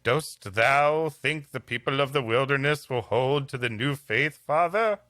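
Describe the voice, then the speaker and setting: A man's voice: medium pitch, measured pace, bright, forceful tone, no echo. A man voicing an eager, questioning young missionary follower in a storybook tale. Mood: earnest questioning. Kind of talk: storybook reading with comic voices.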